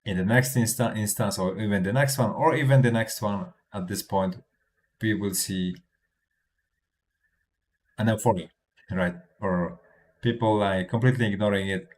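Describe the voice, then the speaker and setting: A man speaking English as a second language, giving casual livestream commentary on market charts, halting with many restarts and a habitual "right?" and soft chuckles between points. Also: on the low side. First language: not English. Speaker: crypto trading streamer.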